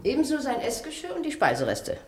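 An actress playing a strict, cold matron in a German radio play, giving orders in a brisk, condescending, authoritative manner. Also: female voice, sits high, wildly swooping pitch, noisy background. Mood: brisk, instructing. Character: strict, cold institutional matron with a callous streak. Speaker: head matron instructing a new attendant.

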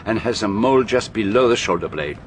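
A male actor plays a grave, shrewd detective with a French-speaking accent in a radio drama. His low voice moves deliberately through precise, formal phrases. There is clear noise behind him. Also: animated, close to an everyday voice. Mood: dry, exasperated. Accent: French-speaking European.

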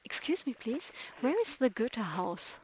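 High, hushed woman, very lively pitch. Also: measured pace, slight background noise. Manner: hushed, often whispering. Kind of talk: spontaneous musing on a walk.

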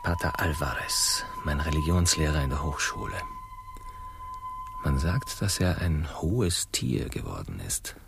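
An actor in a satirical radio play, playing a restless, frustrated young explorer who pleads and insists. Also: male voice, a bass voice, huge pitch swings, a little slow, gravelly, a bit bright, soft background music, exaggerated voice. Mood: searching, muttering. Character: restless, frustrated young Columbus as dreamer and schemer.

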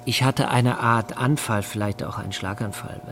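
A male actor plays an uneasy hospital patient in his forties. He is hesitant and subdued, with a mid-range voice, in a radio drama with clear music underneath. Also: medium pitch, normal speed, close to an everyday voice. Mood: lost, brooding. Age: 40s.

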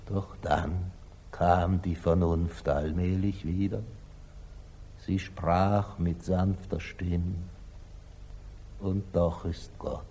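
An actor playing a grave, wise merchant father in a 1940s German radio drama, solemn and declamatory, moving between hushed and fervent delivery. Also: deep voice, little pitch movement, extremely slow, long pauses, a little hiss or hum, heavily acted. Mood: grieving, solemn.

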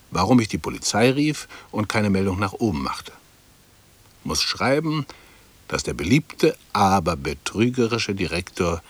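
An actor playing an embittered, dutiful bank employee in a radio play, brooding in clipped, self-justifying fragments. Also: male voice, fairly low pitch, husky, heavily acted. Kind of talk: interior monologue in a 1960s German radio play.

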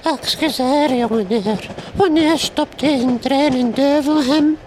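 A woman's voice: high voice, slow, darkish tone, slight background noise, rough recording. A woman playing a fussy, chatty train passenger in a comic radio play.

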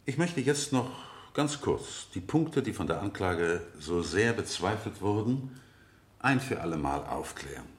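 An actor playing an insistent defense lawyer in a radio play, questioning witnesses in a deliberate, rhetorical way. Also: male voice, sits low, sing-song. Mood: probing, measured. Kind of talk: 1970 German radio play acting.

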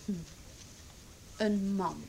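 A woman's voice: medium pitch, very slow, gravelly, warm tone, slight background noise. An actress in a radio drama playing a stern, sarcastic policewoman, brusque and commanding as she questions a suspect. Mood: hard, pressing. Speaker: police interrogator. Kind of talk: Dutch radio drama acting.

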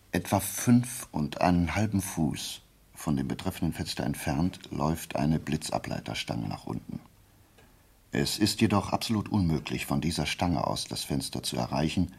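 A man acting in a radio play as a shrewd detective, explaining his deductions deliberately and didactically in a low, gravelly, husky voice. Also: animated, heavily acted. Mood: measured, precise. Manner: deliberate, didactic, checking in with short questions. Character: shrewd amateur detective methodically explaining a murder case.

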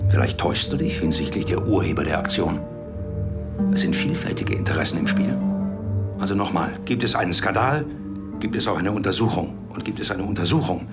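A man playing a cold, insistent banker and business partner in a radio drama. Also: deep voice. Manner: cool, insistent. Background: music.

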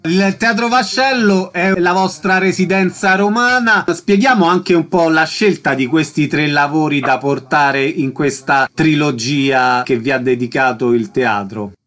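A man's voice: on the high side, measured pace, few pauses, fairly bright tone. A man hosting a radio interview, reading out show details and asking questions. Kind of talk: scripted radio announcements and interview.

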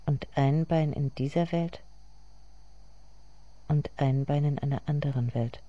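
An actor voicing a brooding inner voice in a poetic radio drama monologue, hushed and incantatory. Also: medium pitch, a little slow, dull audio, close to an everyday voice.

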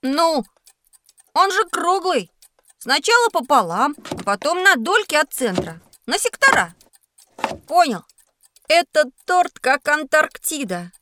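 A woman playing an eager young schoolboy pupil in a scripted educational audio production, lively and youthful. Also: sits high, slow, long pauses, background noise, heavily acted. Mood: reasoning, curious. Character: eager schoolboy Petya, a bright child pupil.

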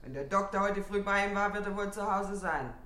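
An actor playing a blunt, nosy apartment building caretaker in a German radio play. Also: male voice, high-pitched, fairly quick, bright, forceful tone, roomy sound. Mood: blunt, wary.

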